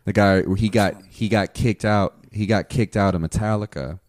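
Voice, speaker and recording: Low-voiced young man, rambling and profane. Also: normal speed, darkish tone, dry recording, studio quality. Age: 20s. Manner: rambling, profane, many fillers. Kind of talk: casual chat.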